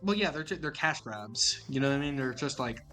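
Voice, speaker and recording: A man co-hosting a casual gaming podcast, talking in an animated, joking way. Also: medium pitch, a little fast, over music. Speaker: podcast co-host.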